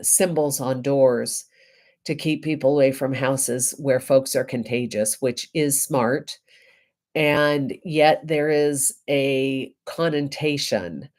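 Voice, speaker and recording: A woman reverend getting over a head cold, giving a reflective spiritual talk with deliberate, emphatic phrasing and pauses. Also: rumbling low, a little mellow.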